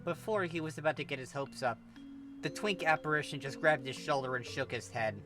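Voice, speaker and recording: Male story reader, lightly high pitch, expressive scripted narration, clear music bed. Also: a little fast. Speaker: narrator, fan fiction reader.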